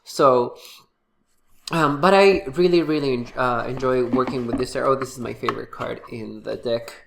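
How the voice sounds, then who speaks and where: A young man who is congested from a cold, his voice gravelly and creaky at the low end. He talks casually to camera, halting often with fillers and false starts. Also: background noise. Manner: halting, many fillers and restarts. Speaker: tarot reader and channel host.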